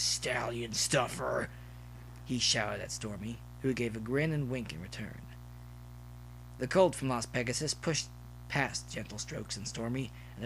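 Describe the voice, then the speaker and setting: Male fiction narrator, mid-pitched, reading scripted prose, amateur recording with light noise behind. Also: fairly bright tone, poor audio. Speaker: audio fiction reader. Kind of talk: scripted story narration with asides.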